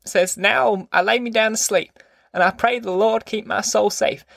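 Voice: medium pitch, fairly even intonation, normal speed, vocal fry, a bit bright, no echo, clean studio sound, voice pushed up. A performer voicing a sulky, bossy elderly grandmother from a novel, with querulous, folksy speech. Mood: complaining. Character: sulky, fierce, bossy old country grandmother.

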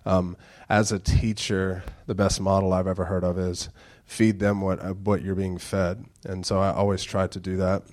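Stuffy low-voiced pastor in his thirties. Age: 30s. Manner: self-described monotone, low and slow. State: stuffed-up nasal congestion. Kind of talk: casual sermon with announcements and dad jokes.

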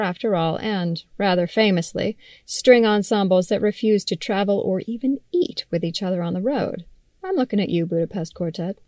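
Woman narrating a magazine piece she wrote, medium pitch, wry n articulate, really dry sound. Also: darkish tone, no room sound. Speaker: magazine writer reading own article. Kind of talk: scripted article narration, read aloud.

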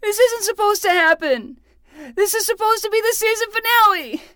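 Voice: very high voice, moderate pace, pushed and bright, no room sound. An actor playing a dramatic twelve-year-old boy who talks like a self-narrating hard-boiled private detective in a fiction podcast. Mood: pained, tearful. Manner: theatrical, self-narrating in third person.

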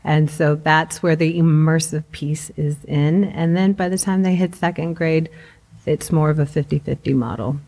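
A woman's voice: sits low, steady tone, normal speed, mellow. A middle-aged woman whose first language is Spanish, a school principal giving conversational answers as an interview guest.

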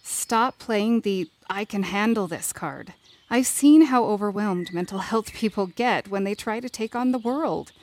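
A woman's voice: medium pitch, measured pace, lightly acted. A woman playing a candid, wry leader and loyal confidante in a fiction podcast drama, with a blunt, teasing manner. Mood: insistent.

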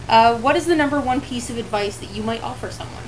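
A woman's voice: on the high side, fast. A young woman, a marketing manager, interviewing a colleague in a chatty, playful way. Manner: chatty, playful, laughs often. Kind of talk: casual interview chat. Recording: noisy room ambience.

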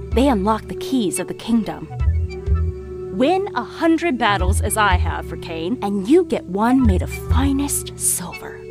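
An actress playing a wry, scheming female narrator character in an audio drama, teasing and theatrical with hushed asides. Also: medium pitch. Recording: studio audio drama with music underneath.

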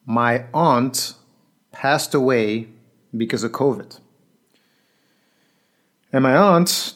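A man who works as a coach, telling a personal story in an earnest, reflective monologue that sometimes drops quiet. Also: medium pitch. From Belgium.

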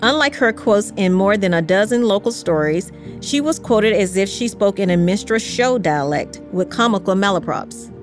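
A woman reading scripted history narration in a measured, carefully articulated storytelling way. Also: mid-range voice, expressive, soft background music. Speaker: podcast narrator.

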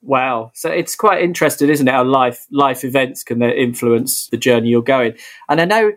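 A man hosting a podcast interview in a friendly way, often closing his points with a tag question. His voice sits at a middle pitch. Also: normal speed, a bit bright.

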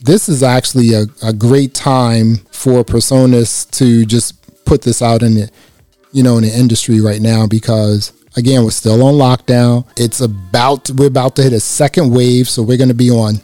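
A man with a slightly raspy voice reviewing a microphone in a casual, chatty way, speaking close into a dynamic mic. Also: medium pitch, normal speed, no echo, soft background music. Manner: relaxed, chatty, many "you know" fillers. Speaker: gear reviewer. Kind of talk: casual product review to camera. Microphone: close dynamic microphone through a processor.

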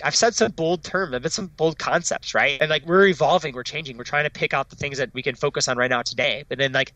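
A man's voice: medium pitch, pushed and bright, dry recording, low-quality recording. A man, a startup founder, talking eagerly in a casual interview, often tagging "right?" onto his sentences. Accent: non-Dutch foreign accent. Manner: enthusiastic, fast, peppered with "right?" and "like".

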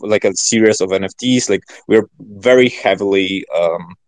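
A man talking as a podcast guest in casual, informal chat, rambling and halting with many filler words. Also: fairly low pitch, dry recording. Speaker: podcast guest, project founder.

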